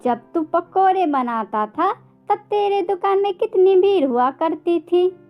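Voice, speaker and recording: A woman's voice: very high voice, animated, measured pace, highs cut off, soft background music, pitched above the performer's own voice. A woman voicing a concerned, chiding mother bird who gives fretful advice in a cartoon story.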